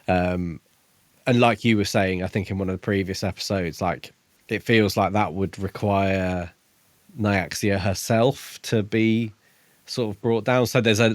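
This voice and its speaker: Low-voiced man, tentative casual podcast chat. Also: no echo. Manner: tentative, halting, thinking aloud. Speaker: podcast co-host. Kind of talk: casual book discussion chat.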